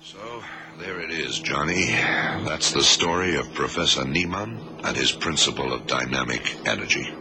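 An actor playing a cool, authoritative government agent in a radio drama, explaining things in a measured, commanding way. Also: male voice, rumbling low, lively intonation. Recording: noisy old radio recording.

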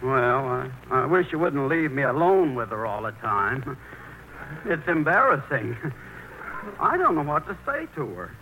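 A man's voice: medium pitch, big pitch swings, unhurried, narrow, tinny audio. An actor playing a timid, hesitant grown son tied to his mother, in 1940s radio acting style. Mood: anxious, whiny. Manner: hesitant, stammering, bashful. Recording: noisy old radio broadcast recording.

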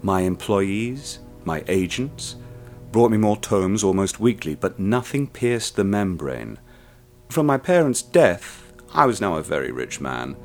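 Man playing a wealthy jaded killer, narrating, very low voice, brooding, faint music. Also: big pitch swings, a little slow, long pauses, full character voice. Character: wealthy jaded male killer recounting his obsession. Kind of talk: narration in a radio drama.

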